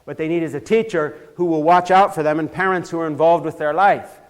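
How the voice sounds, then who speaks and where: A man's voice: on the high side, brisk. Older man, impassioned and rising. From Switzerland. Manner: grows heated and emphatic, with frequent restarts. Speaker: speaker giving a church talk. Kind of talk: impassioned lecture. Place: church.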